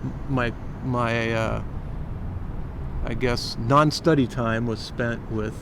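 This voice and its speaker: An older man with an American accent talks as an interview guest. He speaks thoughtfully, often doubling words while he finds his way. Traffic noise is clearly heard in the background. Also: mid-range voice, fairly even intonation, slow. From Cuba. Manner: thoughtful, repeats words while searching for them. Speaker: interview guest, retired company founder. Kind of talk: casual interview chat.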